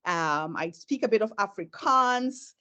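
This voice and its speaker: A middle-aged woman with a Zimbabwean accent blended with American sounds, a native Shona speaker. She presents in an earnest, storytelling manner, her pitch rising and falling expressively as she moves through her slides. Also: lively intonation, a little slow, sharp tone, muffled recording. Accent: Zimbabwean, mixed with American. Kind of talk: presentation talk.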